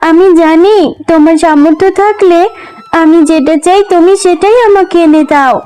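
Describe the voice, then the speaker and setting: A woman's voice: extremely high pitch, moderate pace, mellow. A woman voicing a sweet, eager little girl character in a children's cartoon, pleading and earnest in a childlike way. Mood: consoling. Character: Tumpa, sweet poor little fox girl, eager and obedient.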